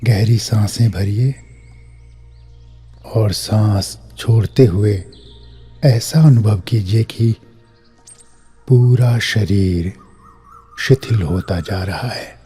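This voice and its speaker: Man, meditation narration, low voice, husky and soft-edged, measured phrases, music behind. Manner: measured, pausing between short phrases. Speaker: meditation guide. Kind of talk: scripted guided meditation, instructional narration.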